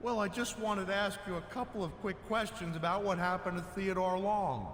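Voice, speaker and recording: Persistent wrestler-investigator for a game cutscene, measured and insistent, higher register, reverberant noisy recording. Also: male voice, a bit bright. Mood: probing, measured. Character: probing male wrestler playing detective, measured and persistent. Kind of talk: scripted cutscene dialogue in a video game.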